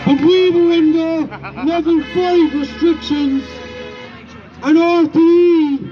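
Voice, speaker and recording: An Irish man speaks at an outdoor rally with a big, carrying voice pitched unusually high. He delivers his points slowly and emphatically, repeating himself with indignation. The sound is somewhat dull and muffled. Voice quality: big loud voice. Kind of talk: outdoor protest speech.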